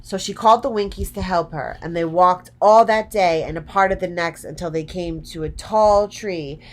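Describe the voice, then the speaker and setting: A woman narrates a children's story as a storyteller. Her voice is on the low side, and her reading is expressive. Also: normal speed.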